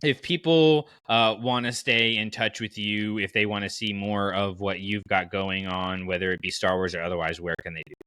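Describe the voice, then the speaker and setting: A man's voice: on the low side, steady tone, normal speed, hardly any pauses, bright, forceful tone, clear, resonant tone, no echo. A man hosting a podcast in a casual, enthusiastic and conversational way. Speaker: podcast host.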